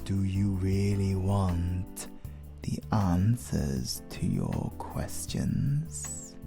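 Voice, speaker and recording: A British man playing an ancient, suave vampire lord in an intimate horror audio roleplay, menacing and flirtatious, with deliberate, teasing delivery that often drops to a whisper. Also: deep voice, very animated, vocal fry, mellow, faint music behind, full character voice. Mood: cool, probing.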